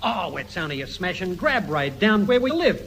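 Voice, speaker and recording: An actor playing a naive, amiable, slow-witted man in a 1950s British radio comedy, innocent and obliging. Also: high voice, sing-song, fairly bright tone, heavily acted. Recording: noisy vintage radio recording.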